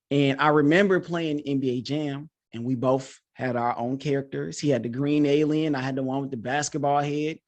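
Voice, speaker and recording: A man's voice: medium pitch, a little mellow. A man hosting a podcast in a casual, informal chat, talking with enthusiasm like a coach. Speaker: podcast host; coach and teacher.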